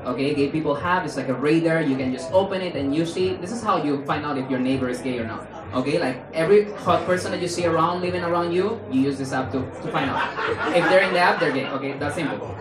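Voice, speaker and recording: Young Spanish comic, animated and playful, heard from afar in a roomy club with a loud laughing crowd. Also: male voice. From Spain. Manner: animated, playful, jumps into high mock voices for quotes. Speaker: stand-up comedian. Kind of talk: stand-up comedy set. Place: small comedy club stage, indoors. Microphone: handheld mic. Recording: roomy club sound from far off, audience laughing.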